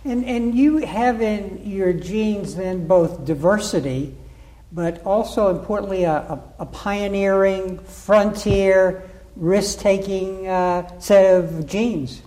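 A man's voice: sits high, slow, dark tone, low-quality recording. A man hosting an interview program, giving a formal introduction and then asking questions. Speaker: interview host.

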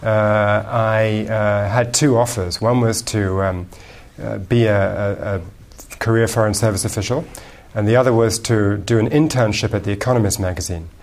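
A man's voice: fairly low pitch, steady tone, moderate pace, few pauses, darkish tone. A man from England with an English accent tinged with American, answering interview questions fluently, with frequent ums and repeated words. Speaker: guest author.

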